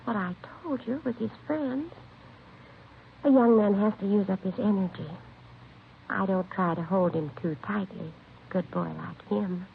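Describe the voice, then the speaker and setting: Doting middle-aged aunt, anxious, earnest, gravelly creak, old-time radio drama, tinny narrow recording. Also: female voice, medium pitch, a little slow. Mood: anxious, defensive. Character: protective, trusting aunt of a wayward young man. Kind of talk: vintage radio drama acting. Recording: lo-fi vintage radio recording, faint hiss.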